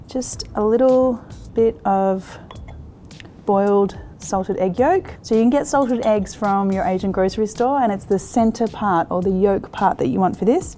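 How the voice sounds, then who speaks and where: A woman's voice: mid-range voice, dark tone, a little hiss or hum. An Australian woman in her thirties hosting a cooking show, chatting through a recipe step by step in an encouraging, easygoing way and laughing easily. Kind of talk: cooking demonstration.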